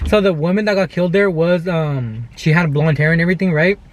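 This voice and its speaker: A young adult man with a high voice talks in a loose, chatty vlog style. He tells stories casually as a co-host, speaking to a camera microphone inside a car. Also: fairly quick. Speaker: vlogger, channel co-host.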